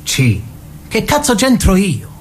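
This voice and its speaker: Male, high voice, as a blunt, skeptical crime sidekick for radio drama, very animated intonation. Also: slow pace, breathy edge, heavily acted. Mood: exasperated. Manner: blunt, slangy. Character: blunt, foul-mouthed, skeptical criminal sidekick. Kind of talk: naturalistic radio drama dialogue.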